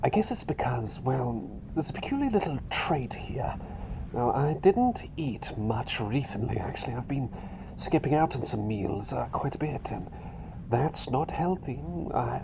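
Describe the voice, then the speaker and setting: A man voicing a mild, flustered doctor turned into a small talking bird for an ASMR roleplay, softly, often whispering, hesitating and repeating himself. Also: medium pitch, poor audio. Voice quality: soft, breathy, often dropping to a whisper. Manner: hesitant, rambling, repeats phrases. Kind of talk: ASMR roleplay, personal attention. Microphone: close ASMR microphone. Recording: close microphone with clear background noise.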